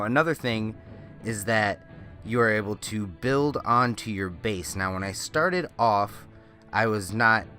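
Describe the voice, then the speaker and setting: A man's voice: on the low side, sharp tone. A man with a slightly nasal, congested voice giving rambling, casual walkthrough commentary on a video game as a channel host, often correcting himself. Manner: rambling, self-correcting explanations. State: congested with a lingering cold. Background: faint music.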